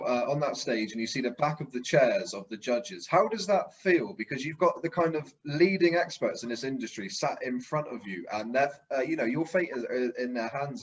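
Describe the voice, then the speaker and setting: A man's voice: medium pitch, dull audio. A man hosting a casual interview, asking long, layered questions. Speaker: interviewer, show host.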